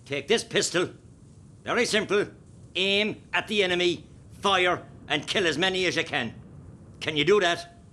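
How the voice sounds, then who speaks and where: An actor playing a brisk, hard rebel commander in a radio play, barking curt orders. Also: male voice, sits high, pushed and bright. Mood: stern.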